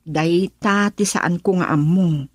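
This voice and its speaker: Isang babaeng gumaganap bilang kaibigang nagtatanong at nagpapayo, na may punto ng Ilocano, sa isang radio drama. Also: female voice, on the low side, slow, husky, dry recording. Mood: probing. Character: friend giving advice.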